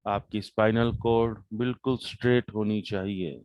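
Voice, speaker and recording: A man with an Indian accent leading a guided meditation, calm and measured, with deliberate pauses between short phrases. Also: fairly low pitch, clear, resonant tone, no echo, rough recording.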